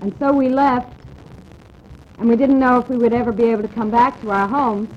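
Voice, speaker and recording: An American woman giving personal testimony in halting, short phrases, pausing for an interpreter. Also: a little high, steady tone, a little hiss or hum. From United States. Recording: dull lo-fi archive radio tape.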